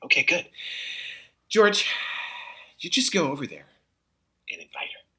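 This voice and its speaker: A man's voice: fairly high pitch, wildly swooping pitch, measured pace, sharp tone, full character voice. Male impressionist as a young comic sidekick, sarcastic, dry asides, exasperated, hugely varied intonation. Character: wisecracking young man, exasperated, sarcastic friend coaching a nervous pal. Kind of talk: comic impression in a script table read.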